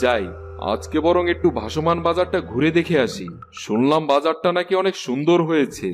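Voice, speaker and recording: A man's voice: on the high side, lively intonation, fairly quick, faint music behind, natural voice. Man acting haughty, scheming village landlord. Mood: brooding, scheming. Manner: haughty, brusque. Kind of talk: Bengali cartoon villain acting.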